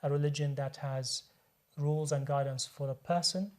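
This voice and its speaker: A man's voice: on the high side, fairly even intonation, normal speed, darkish tone. A man who works as a doctor, speaking as a guest in a studio discussion and explaining his points earnestly, often repeating words as he restarts a sentence.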